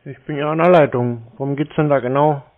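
A man answering cold calls in casual, terse, deadpan stonewalling replies. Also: medium pitch, brisk. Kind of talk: casual phone talk. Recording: thin, band-limited phone call sound.

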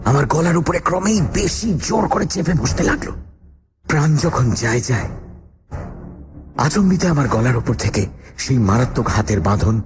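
Low-voiced haunted horror-story writer, over music. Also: male voice. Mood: tense, suffering. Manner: dramatic, suspenseful. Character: male writer narrating his own haunted-house ordeal, uneasy, defiant. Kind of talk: dramatized first-person horror narration.

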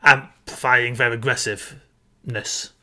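A man's voice: mid-range voice, steady tone, takes it slowly, bright, forceful tone. A man in his thirties co-hosting a podcast on a video call, chipping in with short remarks about a film. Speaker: podcast co-host. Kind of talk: casual film discussion. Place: small room at home. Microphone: headset on a video call.

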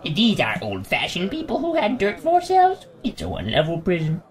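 A woman narrating a lively story recap in an animated, playful manner. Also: on the low side, moderate pace. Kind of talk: storytelling recap of an audio drama. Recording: loud background music.

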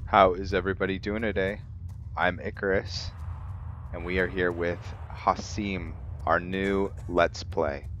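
A man's voice: low voice, flat delivery, measured pace, a bit bright. A man hosting a let's play with casual, explanatory gameplay commentary, often putting questions to his viewers. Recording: dull lo-fi mic, noisy background.

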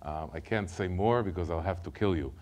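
A middle-aged man with an Israeli accent tells his life story to a church congregation, lively and conversational, often stumbling over and repeating words. His voice sits fairly low, and he speaks into a podium mic in a large sanctuary. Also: fairly quick. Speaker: guest speaker. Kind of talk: personal testimony sermon.

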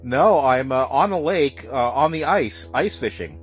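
A man's voice: mid-range voice, expressive, unhurried, faint music behind. A man working as an amateur radio operator, speaking plainly in radio jargon and repeating details for clarity. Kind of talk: ham radio contact, phonetic spelling.

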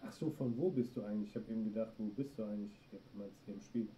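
A man's voice: on the low side, racing, warm tone. A middle-aged German man streaming a horror game, muttering to himself and chatting with viewers. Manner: talks to himself while playing, reacts to chat. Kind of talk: let's play commentary. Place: small room indoors. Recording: dull stream audio with noise.

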